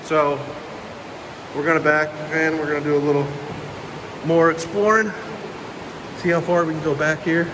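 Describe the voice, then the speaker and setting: Young male hiking vlogger, slightly high voice, loose rambling delivery, handheld in a cave, clear background hiss. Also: normal speed, pauses often. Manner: relaxed, rambling, filler-heavy commentary. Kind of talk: casual vlog narration. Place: inside a cave. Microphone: handheld camera held by the speaker.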